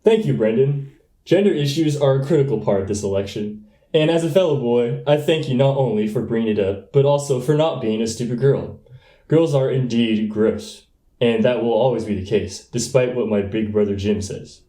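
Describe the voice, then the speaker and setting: A man's voice: medium pitch, moderate pace, warm tone, roomy sound, lightly acted. A young American man playing a brash boy running for student president, giving pompous political speeches in a comedy radio play. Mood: smug. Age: young adult.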